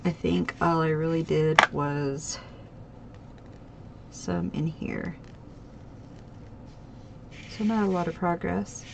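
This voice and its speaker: A woman's voice: sits low, slow, creaky, long pauses, noisy background. A woman with a stuffy, slightly nasal voice, rambling casually through her crafting projects at home, with many ums and restarts. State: congested, a bit nasal. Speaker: craft channel host. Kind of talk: casual chat showing stitching projects. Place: home craft table, indoors.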